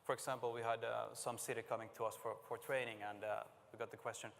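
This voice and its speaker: A man from Finland giving a conference talk and answering questions, speaking plainly and informally with filler pauses, in a large conference hall. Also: medium pitch, little pitch movement, vocal fry, fairly bright tone. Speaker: conference presenter.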